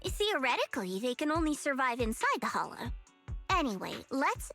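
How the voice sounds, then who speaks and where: A confident, teasing young investigator from a game. Her high voice swings lively up and down as she needles her companions with knowing remarks. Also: normal speed, soft background music, heavily acted. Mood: brisk. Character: sly, teasing young woman investigator, self-assured.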